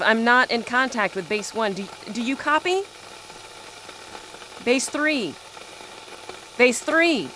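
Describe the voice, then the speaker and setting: Anxious, high-voiced female base radio operator. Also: pushed and bright, slight background noise, full character voice, rough recording. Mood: puzzled, uneasy. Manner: radio-procedure call-outs, increasingly urgent. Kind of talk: audio drama acting.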